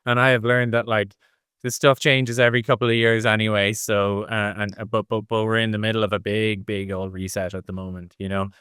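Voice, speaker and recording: Middle-aged man, smooth dry-sounding voice. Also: lowish voice, flat delivery, brisk, a bit bright, no room sound. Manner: discursive, thinks aloud in long hedged explanations. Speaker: interview guest, design executive. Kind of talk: casual interview explanation.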